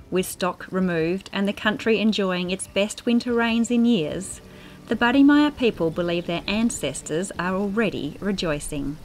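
A woman's voice: medium pitch, slight background noise. A woman with an Australian accent reading scripted narration for a news feature as a reporter. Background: soft music.

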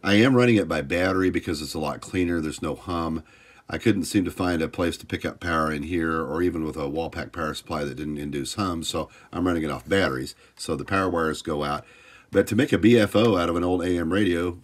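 A man's voice: low-pitched, a little fast. An American man giving an informal hands-on tutorial, explaining step by step in a plain-spoken, folksy way. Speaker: hobbyist host of electronics tutorial. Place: indoors at a home electronics workbench.

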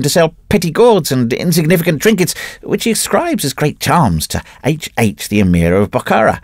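A man's voice: medium pitch, no echo, clean studio sound, pitched above the performer's own voice. A man reading out the words of a gossipy male letter writer in an amused, newsy way.

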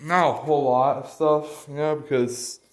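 A man's voice: fairly high pitch, steady tone, normal speed. A man talking casually and informally as he gives a walking house tour, often hesitating and repeating himself. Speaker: vlogger giving a house tour. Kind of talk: casual chat while walking around. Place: house under construction and its muddy yard.

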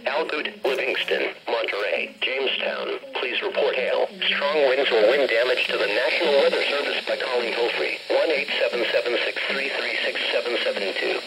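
An automated male announcer with a mid-range synthetic voice delivers formal emergency weather bulletins in a measured way. The voice comes out of a weather radio speaker and is picked up by a handheld camera. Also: mid-range voice, fairly bright tone, a little hiss or hum, rough recording. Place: carpeted room in a home. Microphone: camera held near the radio.

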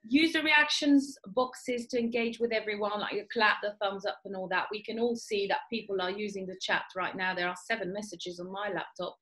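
A woman's voice: mid-range voice, steady tone, a little fast, hardly any pauses, a bit bright, low-quality recording. A woman hosting an online class, giving informal housekeeping instructions to participants. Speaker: class host.

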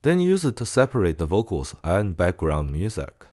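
Guy walking thru a software tutorial, lowish voice that goes up and down a lot, really dry close sound. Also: wildly swooping pitch, moderate pace, no room sound. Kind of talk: casual screen-recorded software tutorial.

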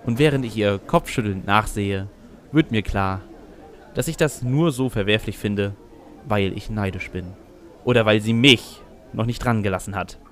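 A man's voice: on the low side, moderate pace, a bit bright. A man reading a scripted first-person narration for a fan fiction audio drama.

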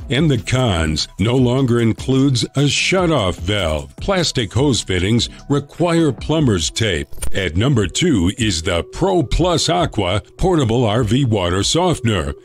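A man delivering scripted product review narration in a steady, promotional announcer-like manner. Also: mid-range voice.

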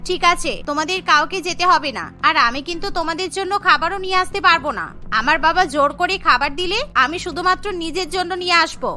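A woman's voice: very high voice, brisk, pushed and bright, full character voice. A woman voicing a haughty, spiteful young rich daughter-in-law who sneers, boasts and scolds in a cartoon family drama. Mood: resentful, haughty.

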